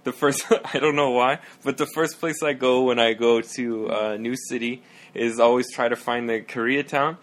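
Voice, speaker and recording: A man in casual conversation, telling stories in a relaxed, chatty way and laughing often. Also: mid-range voice, normal speed. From United States. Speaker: conversation partner. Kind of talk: casual chat.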